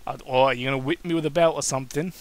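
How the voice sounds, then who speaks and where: A man's voice: medium pitch, steady tone, racing, close to an everyday voice. A man voicing a small, whiny, indignant bearded man who complains childishly in an amateur story reading. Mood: suspicious, sarcastic. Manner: whining, yelling, sarcastic.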